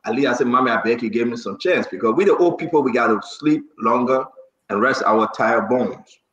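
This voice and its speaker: A man's voice: mid-range voice, fairly quick, fairly bright tone. A Liberian man in his forties hosting a casual talk show, speaking animatedly and repeating phrases for emphasis. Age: 40s. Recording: echoey room, slightly dull sound.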